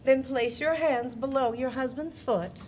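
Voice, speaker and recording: An actress playing Kate, a tamed shrew who lectures other women, in a slow, declamatory Shakespearean delivery. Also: female voice, high voice, noisy background. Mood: solemn. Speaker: actress in a live audio play. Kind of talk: Shakespearean stage acting.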